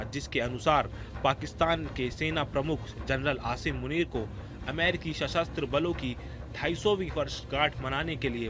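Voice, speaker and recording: A man presenting a scripted news report in a formal, measured manner. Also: a little high.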